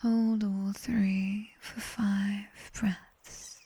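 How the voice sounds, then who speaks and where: Slow, whispering British demoness tutor, played by a woman. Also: medium pitch, little pitch movement, warm tone, heavily acted. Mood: slow, soothing, commanding. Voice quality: breathy whisper. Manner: wry, instructing, unhurried. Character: sharp-tongued nightmare demoness tutor, teasing and commanding. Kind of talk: ASMR roleplay, guided sleep meditation. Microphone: close binaural microphone.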